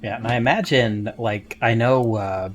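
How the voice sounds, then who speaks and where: A man's voice: medium pitch, normal speed, slight background noise. A man hosting a casual panel chat about a tabletop game he plays in. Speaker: podcast host and player in a tabletop game.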